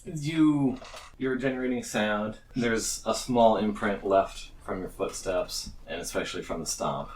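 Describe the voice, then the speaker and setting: A man's voice: on the low side, measured pace, slight background noise. A man running a tabletop game as game master, narrating scenes to friends in an amused way. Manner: amused, chuckling between narration. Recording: echoey room, distant from the mic.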